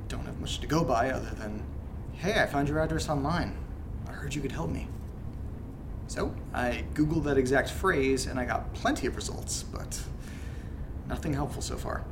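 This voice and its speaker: A man's voice: medium pitch, vocal fry, background noise. A man playing an uneasy letter reader in an audio drama, who thinks aloud in a hesitant, musing way. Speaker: letter-reading narrator of a horror audio drama.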